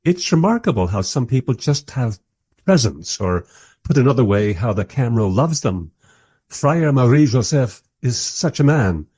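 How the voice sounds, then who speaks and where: A man's voice: mid-range voice, big pitch swings, normal speed, a little mellow, breathy edge. A man playing a reflective documentary maker who narrates a radio play in a wry, literary, musing way.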